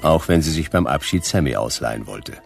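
A man's voice: very deep voice, animated, brisk, creaky, faint music behind, exaggerated voice. A man playing a laconic, sardonic private detective in a noir radio play. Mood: wry narration. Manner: laconic, sardonic, clipped sentences. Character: hard-boiled, dry, wry male private detective.

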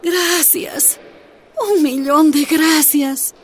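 A grieving mother in a cell, very high, very slow. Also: fairly even intonation, a little hiss or hum. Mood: fearful. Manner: slow, quiet, defiant. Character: defiant young mother prisoner, grieving.

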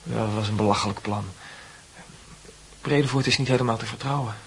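An actor playing an earnest, hesitant young man in a Dutch radio play, with a husky voice. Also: fairly low pitch, fairly even intonation, brisk, slight background noise. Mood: shy, halting.

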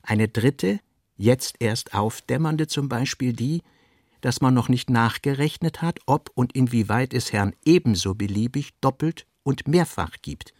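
Creaky-voiced man reading literary prose. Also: medium pitch, unhurried, a little mellow, no room sound, studio quality. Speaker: radio play narrator. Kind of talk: literary scripted narration.

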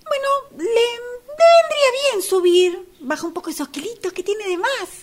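A woman's voice: extremely high pitch, moderate pace. A woman with an Argentine accent playing an oblivious, teasing upstairs neighbour in an amateur radio play, cutesy and needling. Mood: breezy, needling. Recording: amateur workshop recording with background noise.

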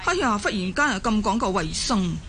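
A woman's voice: mid-range voice, big pitch swings, fairly quick, fairly bright tone. A woman acting a nagging, scornful Hong Kong housewife in a Cantonese radio drama, scolding and sarcastic. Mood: sneering. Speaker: voice actor in an audio drama.

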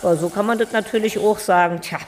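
A woman's voice: mid-range voice, fast, slight background noise, natural voice. An actress playing a gossipy, earthy Berlin landlady in a radio drama, chatty and coy, then blunt. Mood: gossipy, amused.

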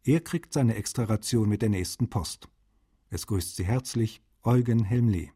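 A husky, mellow, cordial translator. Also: male voice, on the low side, measured pace. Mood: cordial, pleased. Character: cordial literary translator writing to a colleague. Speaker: voice actor reading letters. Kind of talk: dramatised letter reading, German radio documentary.

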